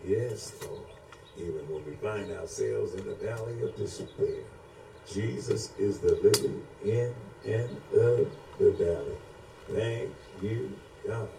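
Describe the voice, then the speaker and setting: A man's voice: lowish voice, takes it slowly, gravelly, warm tone. A man preaching and praying fervently off the cuff, with repetitive, chant-like invocations. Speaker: preacher leading prayer. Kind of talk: fervent extemporaneous prayer and exhortation. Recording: roomy echo with faint background noise.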